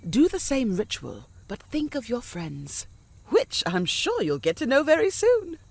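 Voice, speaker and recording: A woman playing a gentle, kindly fairy guardian in an audio drama, courteous and reassuring. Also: a little high, huge pitch swings, measured pace, creaky, heavily acted. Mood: tender, reassuring.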